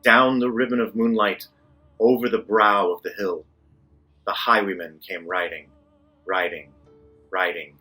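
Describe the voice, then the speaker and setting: A man with a raspy voice reading a poem dramatically. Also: fairly low pitch, fairly even intonation, measured pace, pauses often, bright, forceful tone, soft background music. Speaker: poem reader.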